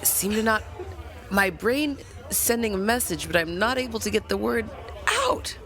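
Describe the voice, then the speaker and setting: Woman playing a creaky, halting, humbled doctor. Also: mid-range voice, very animated, fairly bright tone, some chatter behind. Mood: confused. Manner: hesitant, halting. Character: vain doctor, humbled and confused after a reboot. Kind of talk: improvised tabletop role-play.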